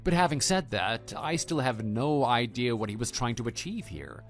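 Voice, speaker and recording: A man playing a calm, matter-of-fact husband and former teacher in a scripted online radio drama. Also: mid-range voice, natural voice. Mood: calm, reassuring. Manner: measured, matter-of-fact, quietly dry. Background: background music.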